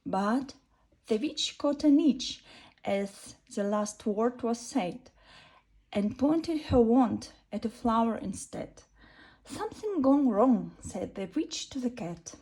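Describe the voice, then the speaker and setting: A woman's voice: fairly high pitch, lively intonation, takes it slowly, mellow, rough recording. A woman reading a rhyming children's bedtime story in a sing-song way. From Russia.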